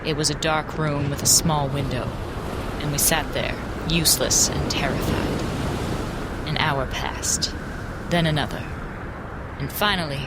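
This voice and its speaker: An actress narrating in first person as a plucky 1920s journalist, recalling events with vivid, wry detail in a radio drama. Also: female voice, low voice, fairly even intonation, measured pace, long pauses. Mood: tense. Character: 1920s woman journalist, frightened but plucky. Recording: loud rain and storm noise.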